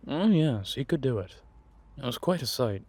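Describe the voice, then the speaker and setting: A man playing a world-weary, wry and menacing vampire intelligence operative in an audio roleplay, confiding in a measured, drawn-out way. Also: mid-range voice, big pitch swings, a little mellow, slightly rough, no echo. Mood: wry, amused. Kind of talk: roleplay audio drama monologue addressed to a listener.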